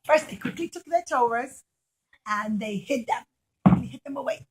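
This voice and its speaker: A woman's voice: high-pitched, expressive, normal speed, slightly rough. A woman telling a story to young children in simple, repeated phrases, often putting questions to her listeners.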